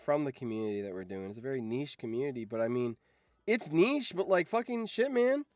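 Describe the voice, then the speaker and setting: A man's voice: medium pitch, big pitch swings, a little fast, darkish tone, no echo. A man chatting casually on a livestream as a video editor, rambling along and swearing freely.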